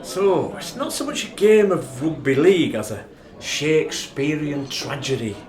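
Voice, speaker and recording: A man's voice: on the high side, animated, measured pace, a little mellow. Man playing an impassioned Northern English rugby fan. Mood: brooding. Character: impassioned Northern rugby fan brooding over an old defeat. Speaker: actor in a radio play. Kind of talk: dramatic radio play retelling. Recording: roomy, echoing sound.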